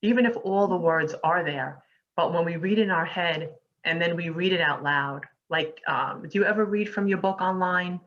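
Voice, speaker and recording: A woman with a New York accent hosting a casual interview, chatty and encouraging and quick to laugh. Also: on the low side, fairly bright tone. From United States. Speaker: host. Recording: muffled lo-fi audio.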